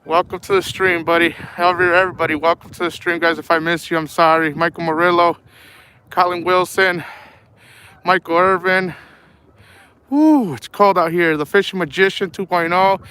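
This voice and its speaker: A high-voiced young man chats loosely to his livestream viewers from a cold lakeshore, his throat sore and his voice half gone. He rambles in an easygoing way and tacks "man" onto his sentences. Steady wind roars across his phone mic as he talks. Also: moderate pace. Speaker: fishing livestream host. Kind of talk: casual live-stream chat reading out viewer names. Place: outdoors by a windy, partly frozen lake. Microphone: phone held at arm's length. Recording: loud wind noise outdoors. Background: wind and honking geese.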